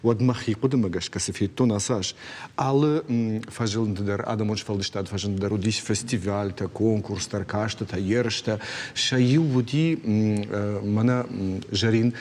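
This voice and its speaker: A man's voice: fairly low pitch, normal speed, darkish tone. A man with an Ossetian accent talking unscripted in an interview, often searching for his words. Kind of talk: unscripted studio interview talk. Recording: echoey room.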